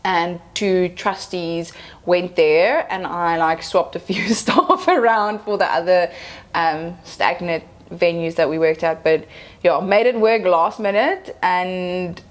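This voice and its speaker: A woman's voice: on the low side, slow pace. A young woman, chatting casually as an event planner on a podcast and telling stories. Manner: chatty, storytelling, slips into Afrikaans phrases.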